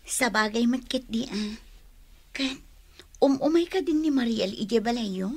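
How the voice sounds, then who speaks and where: An actress playing a friendly, supportive young student in a Filipino radio drama. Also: female voice, a little high, measured pace, darkish tone, no room sound.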